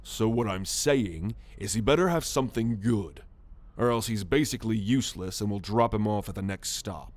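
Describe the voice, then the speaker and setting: A man voicing a proud, gruff alien military renegade in an ASMR roleplay, haughty and sardonic in a measured delivery. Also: lowish voice, close to an everyday voice. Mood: pragmatic, brusque. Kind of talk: ASMR roleplay monologue to the listener.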